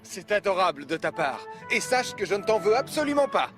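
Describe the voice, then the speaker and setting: A man's voice: sits high, big pitch swings, brisk, music in the background. A man playing a kind, confident fireman in charge, reassuring and decisive, in a French-dubbed children's cartoon. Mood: kindly.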